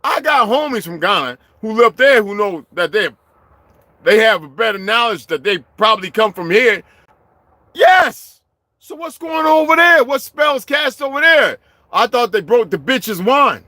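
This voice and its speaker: High, animated, ranting middle-aged man. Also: moderate pace, no echo. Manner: heated, profane, swings between muttering and shouting. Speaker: vlogger. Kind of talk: profane ranting monologue.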